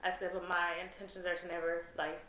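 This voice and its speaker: A woman's voice: lowish voice, level tone, fast, pushed and bright. A young woman giving an interview, talking earnestly and hesitantly with many fillers and restarts. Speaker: interview guest. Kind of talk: candid interview testimony. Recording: roomy with faint noise.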